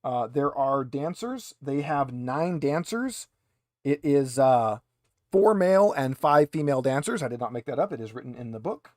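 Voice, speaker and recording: A man narrating a tabletop game as game master, moving between read description and casual, halting explanation. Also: mid-range voice, animated, a little mellow, no room sound, clean studio sound.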